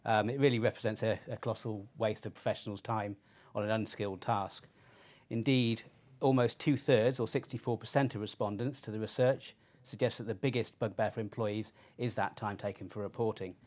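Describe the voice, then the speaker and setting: A middle-aged man presenting informally on a webinar, speaking haltingly with pauses. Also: fairly low pitch, steady tone, darkish tone. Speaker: panelist presenting research.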